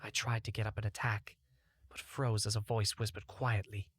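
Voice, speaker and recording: A man's voice: lowish voice, level tone, moderate pace, slightly rough, no room sound. A man narrating a first-person fantasy audiobook in a scripted storytelling style.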